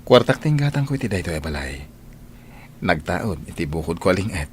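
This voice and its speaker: A man's voice: low voice, very animated, fairly quick, a little hiss or hum. A man playing a devoted, protective young suitor in a radio drama. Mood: tender, reassuring. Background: loud music.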